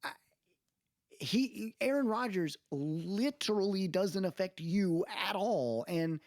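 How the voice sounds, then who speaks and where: A man's voice: high-pitched, animated, takes it slowly, dry recording, clean studio sound. A man co-hosting a podcast in casual, opinionated chat, rambling and emphatic, often checking that he is being followed. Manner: rambling, emphatic, often checks with listener "you know what I mean". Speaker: podcast co-host. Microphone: close mic on remote podcast call.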